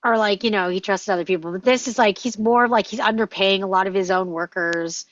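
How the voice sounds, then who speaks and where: A woman's voice: medium pitch, fairly quick, fairly bright tone, no echo, lo-fi sound. A woman talking casually in a discussion, with mid-range conversational delivery. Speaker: table read participant.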